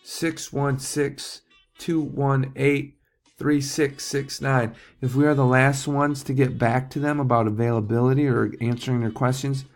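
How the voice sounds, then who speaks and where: Gravelly-voiced man giving staff instructions. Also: mid-range voice, little pitch movement, unhurried, soft background music. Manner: plain-spoken, instructive, checks understanding with tags. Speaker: golf course manager training new staff. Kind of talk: informal staff training talk.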